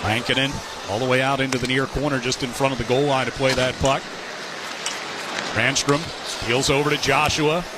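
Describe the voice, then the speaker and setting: A man doing live play-by-play commentary of an ice hockey game for radio. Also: mid-range voice. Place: sports arena broadcast position. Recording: live arena broadcast with loud background noise. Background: loud arena noise.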